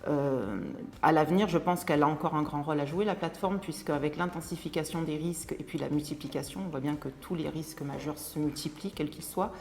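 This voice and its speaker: A woman with a notably low voice answers in an interview. She sounds composed and lays out her points in an explanatory way. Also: a little fast, few pauses, darkish tone. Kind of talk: unscripted interview testimony.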